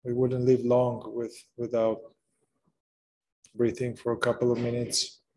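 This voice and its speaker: A man leading a guided meditation, speaking gently in short phrases with long pauses between them. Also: mid-range voice, completely flat delivery, low-quality recording. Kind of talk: slow guided meditation narration.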